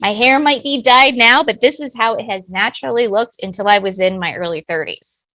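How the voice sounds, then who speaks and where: A woman's voice: on the high side, a little fast. A middle-aged woman, a business owner, giving personal, talkative answers in an interview. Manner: personal, talkative, warm-hearted.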